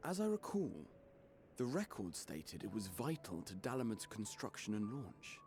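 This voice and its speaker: A man playing an erudite, measured scholar in a video game, formal and precise. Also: fairly low pitch, sing-song, a little mellow, soft background music. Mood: thoughtful. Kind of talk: video game cutscene acting.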